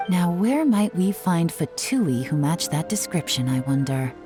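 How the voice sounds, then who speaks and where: A woman's voice: fairly low pitch, very animated, measured pace. A woman playing a cool, shrewd intelligence officer in a video game, composed and commanding with dry confidence. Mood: analytical. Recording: music behind the voice.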